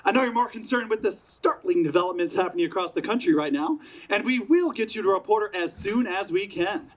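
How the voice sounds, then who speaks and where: A man plays an old-time radio announcer with a high-pitched voice. He addresses his listeners with courteous apology, in the style of a spoofed vintage broadcast on stage. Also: expressive, fairly quick, low-quality recording. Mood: apologetic. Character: polite, apologetic male radio station announcer. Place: outdoors, community theater play in a parking lot.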